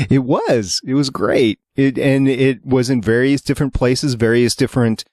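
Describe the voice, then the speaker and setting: A man's voice: medium pitch, a little slow, a little mellow, no room sound, clean studio sound. A man hosting a podcast, chatting casually with a panel. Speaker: podcast host.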